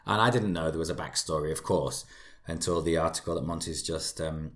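A man's voice: rumbling low, fairly quick. A man chatting casually as a podcast panelist, reviewing songs with emphatic, effusive repetition.

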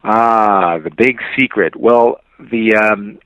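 A man being interviewed on a tinny phone-like line, reminiscing casually and pausing to search for words. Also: on the low side, fairly bright tone, clear, resonant tone, no echo. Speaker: interview guest commenting on album tracks.

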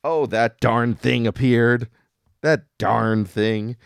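A man's voice: mid-range voice, fairly even intonation, slow, vocal fry, clean tone. A man hosting a podcast, giving a casual, wry and playful episode recap with dramatic emphasis. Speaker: podcast host.

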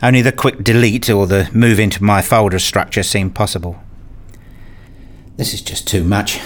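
A man's voice: on the low side, steady tone, moderate pace, natural voice. A man playing a weary, exasperated husband and father in a radio play, narrating and reasoning in a placating way. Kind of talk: radio play dialogue and first-person narration.

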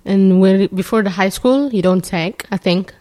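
A teenage girl answering questions in a plain, halting way. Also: medium pitch, a little mellow. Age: teens. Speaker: interviewee.

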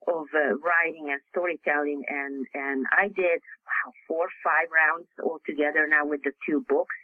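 A man from Hungary, native Hungarian speaker with a Hungarian accent, answering interview questions haltingly with many fillers and restarts. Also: on the high side, dry recording, narrow, tinny audio. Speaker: author guest.

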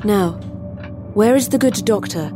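An actress playing an eerily gracious mother who is polite, coaxing and quietly commanding in an audio play. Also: medium pitch, expressive, a little slow, over music, lightly acted. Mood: gently commanding. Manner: polite, coaxing, firmly insistent. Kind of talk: audio drama acting.

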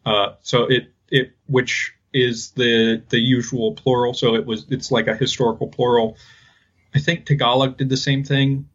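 A man co-hosting a podcast, talking casually and haltingly as he explains things. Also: medium pitch, flat delivery, dull audio. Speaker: podcast co-host. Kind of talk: casual linguistics discussion.

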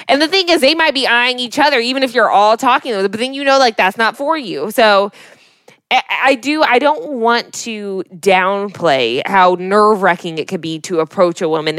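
A woman's voice: fairly low pitch, a bit bright, no echo. A young woman talking casually as a podcast co-host, frank and emphatic in her opinions, with slangy asides.

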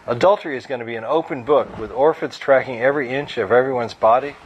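A man's voice: mid-range voice, fast, dull audio, a little hiss or hum, close to an everyday voice. A man voicing a chatty, wisecracking husband who gossips and jokes, with a forced folksy chuckle. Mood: gossipy. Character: joking, gossipy husband, nervous under the banter.

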